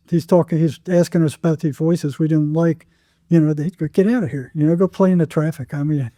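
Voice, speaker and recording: A man's voice: on the high side, warm tone, no echo, clean studio sound. A man telling stories as an interview guest in a conversational, rambling way, often acting out quoted dialogue. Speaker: podcast guest, psychotherapist.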